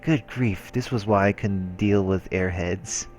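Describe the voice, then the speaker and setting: A man's voice: deep voice, a little slow, darkish tone. A man doing a let's play, reading visual novel narration aloud in a casual, informal way, stumbling now and then and adding his own comments. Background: background music from the game.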